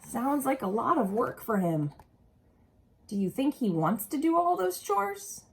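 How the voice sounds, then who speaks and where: A woman reading a picture book aloud expressively to young children, pausing to ask them simple questions. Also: high-pitched, sing-song, vocal fry, breathy edge.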